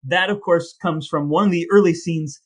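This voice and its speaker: A man's voice: fairly high pitch, normal speed. A man co-hosting a casual comic podcast, playfully spinning absurd ideas in a matter-of-fact way. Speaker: podcast co-host.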